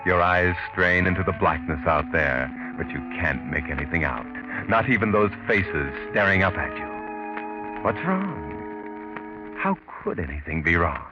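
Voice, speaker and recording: An actor playing an ominous, taunting narrator of a 1940s radio mystery. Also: male voice, low-pitched, sing-song, a little slow, over music. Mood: suspenseful. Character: ominous, taunting omniscient narrator addressing the killer. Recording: muffled 1940s radio recording.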